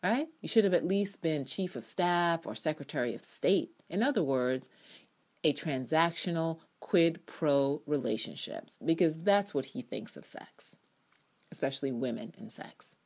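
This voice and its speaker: Female reader, low pitch, delivering sardonic TV commentary as a dramatic, emphatic essay reading. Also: animated, unhurried, darkish tone. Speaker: TV drama blogger reading own review aloud.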